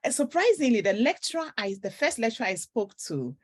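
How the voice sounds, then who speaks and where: A woman's voice: on the high side, sing-song, brisk, breathy edge. A woman author from Ghana, telling her story earnestly in a faith interview. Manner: earnest storytelling, breaks into song.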